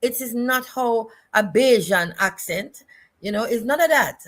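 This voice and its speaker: An older woman with a Jamaican accent, speaking as an interview guest in a reflective, deliberate way and repeating phrases for emphasis. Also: on the high side, animated, fairly bright tone. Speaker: guest, choreographer and dance educator.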